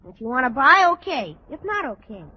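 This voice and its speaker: Little kid salesman, radio comedy: businesslike, lively sing-song pitch, dull old recording. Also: a little fast, breathy edge, heavily acted. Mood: brisk, businesslike. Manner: blunt, businesslike patter. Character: small, brisk, matter-of-fact child salesman.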